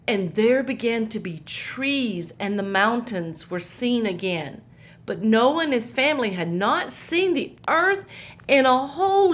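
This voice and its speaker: A woman telling a Bible story to young children, pointing out the pictures in a gentle, patient way. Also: fairly high pitch.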